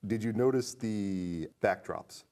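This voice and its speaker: A man co-hosting a casual film discussion, analytical and animated, posing questions to his partner. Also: on the low side, slow. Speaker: podcast co-host.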